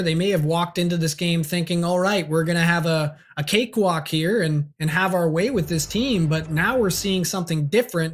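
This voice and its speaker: A man giving relaxed, fluent colour commentary on an esports hockey match. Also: fairly high pitch. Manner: easygoing, agreeable, fluent analysis.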